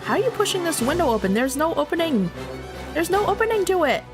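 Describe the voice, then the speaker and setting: A woman's voice: extremely high pitch, very animated, fast talker. A woman streamer who moves between casual, informal chat and reading a visual novel story aloud. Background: background music.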